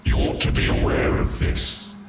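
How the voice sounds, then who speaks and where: An actor voicing a vast, fatalistic godlike being in a video game, solemn, breathy and ominous. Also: male voice, normal speed, very noisy, close to an everyday voice. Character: vast godlike male entity, fatalistic guardian of the present. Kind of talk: dramatic video game acting. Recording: distant, echoing, very loud rumbling ambience.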